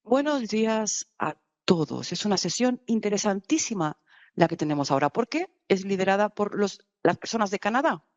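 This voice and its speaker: A woman giving live conference interpretation, halting at times with restarts and bursts of speed. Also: medium pitch, animated, no echo, highs cut off. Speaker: simultaneous interpreter.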